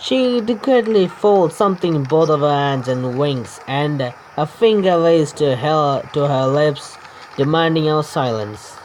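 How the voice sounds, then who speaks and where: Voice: mid-range voice, a little slow, darkish tone, low-quality recording. Stumbling game streamer over background noise. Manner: stumbles over words, corrects misreadings, swears. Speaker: gaming streamer reading a visual novel aloud. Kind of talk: let's play narration with casual asides.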